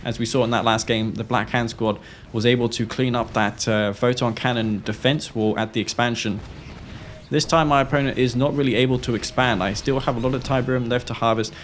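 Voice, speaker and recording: A man commentates his own strategy game in a medium-pitched voice. He has a sore throat as he talks through the play. Faint noise sits behind his voice. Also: fairly even intonation, a little fast. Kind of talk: gameplay commentary of own match.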